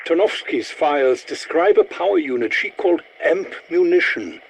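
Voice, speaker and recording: Fussy German scientist, wildly swooping voice. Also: male voice, a little high, expressive, moderate pace, gravelly, a little hiss or hum, poor audio. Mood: measured, scholarly. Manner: theatrical, precise, pompous, wry. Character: eccentric older German scientist, fussy, sardonic, easily appalled. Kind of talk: video game character voice lines.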